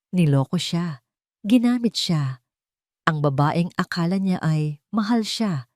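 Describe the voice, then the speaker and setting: A woman's voice: low voice, a little mellow, no room sound, studio quality. A woman reading scripted dramatic narration as the narrator of a love story.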